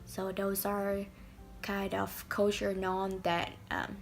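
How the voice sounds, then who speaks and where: Creaky-voiced young woman. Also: medium pitch, little pitch movement, faint music behind. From Vietnam. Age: young adult. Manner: halting, searching for words. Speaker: student interviewee. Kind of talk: casual interview answers.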